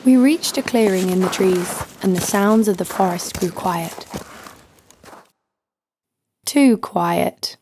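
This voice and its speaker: A woman's voice: mid-range voice, slow, long pauses, background noise, close to an everyday voice. A woman playing a tough, sardonic knife fighter who tells her own story in an audio drama. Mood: dry, tense. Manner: dry, sardonic. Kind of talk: scripted first-person audio drama narration. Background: music.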